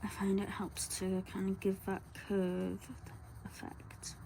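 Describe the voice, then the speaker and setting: Lady, croaky soft voice, slow and warm, rambling along while she colours, faint hum behind her. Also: mid-range voice, monotone, rough recording. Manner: soft, rambling, often drops to a whisper. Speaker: colouring tutor.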